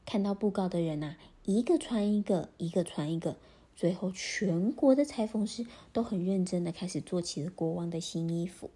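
A woman with a breathy, often half-whispered voice, telling a children's story in a gentle, animated way. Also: on the low side, normal speed.

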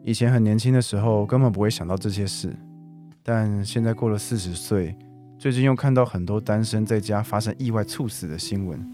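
Radio drama suitor, man acting: forties, earnest teaser, persuasive, lowish pitch, guitar underneath. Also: a little fast, lightly acted. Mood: earnest, thoughtful. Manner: teasing, persuasive. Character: teasing, earnest man in his forties courting old friend.

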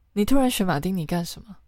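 A woman's voice: lowish voice, darkish tone. A woman playing a caring, mildly scolding bar manager in a radio drama, with a familiar, nagging manner. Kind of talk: Mandarin radio drama acting.

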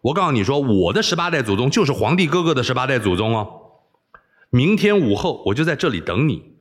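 A man's voice: mid-range voice, very animated, a little fast. A man voicing a spoiled, wilful young princess, coaxing, bossy and playful, in audiobook storytelling. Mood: threatening, petulant. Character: spoiled, wilful young princess who relishes being scolded.

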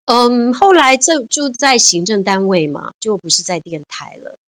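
A woman's voice: a little high, moderate pace, no room sound, clean studio sound. A woman, a guest chatting casually and animatedly in an interview. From Taiwan. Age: 50s. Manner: animated, laughs easily, many fillers. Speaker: guest, civil servant.

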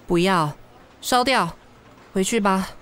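A world-weary female secretary in a radio drama, speaking at middle pitch. She is brisk and bossy, with dry sarcasm and little patience. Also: fairly bright tone. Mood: brisk, matter-of-fact. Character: brisk, exasperated, sardonic female office secretary.

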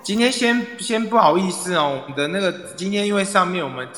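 A man's voice: high voice, expressive, a little fast, a crowd in the background. A man with a Taiwanese Mandarin accent casually hosting a live online audio room, often repeating his phrases as he organizes things. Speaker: club president and host. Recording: echoey, long reverb.

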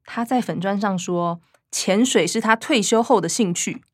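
An actress playing an earnest, fussy young younger sister in a radio drama, with a Taiwanese Mandarin accent. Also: female voice, mid-range voice, moderate pace, dry recording, close to an everyday voice. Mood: earnest explaining.

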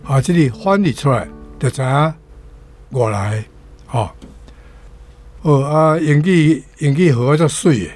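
An older man with a Taiwanese accent explaining vocabulary as a language teacher, in short, halting phrases. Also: a little high, lively intonation, darkish tone, dry recording, faint music behind.

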